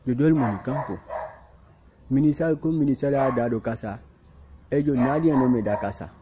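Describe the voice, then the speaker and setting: A man speaking halting, repetitive second-language Spanish, insisting and defending himself in a self-recorded plea, outdoors in a field. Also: medium pitch. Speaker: migrant speaking to camera. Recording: muffled with clear background noise and barking dog.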